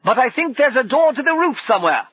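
Brash daredevil adventurer, voiced by a man, raised high and shouted, hearty clipped bluster, dull lo-fi sound. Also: lively intonation, normal speed, husky, dry recording. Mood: shouting. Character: bold reckless male adventurer, brash and jaunty. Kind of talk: audiobook character voice.

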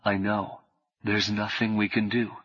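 A man playing an anxious, protective young man who speaks low, urgent and earnest. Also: flat delivery, measured pace, fairly bright tone, dry recording, dull audio.

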